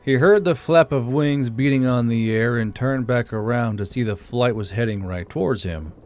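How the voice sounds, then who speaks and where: A man's voice: mid-range voice, darkish tone, faint music behind. Man narrating an audiobook. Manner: plain, unhurried storytelling; casual and blunt outro. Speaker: narrator and channel host.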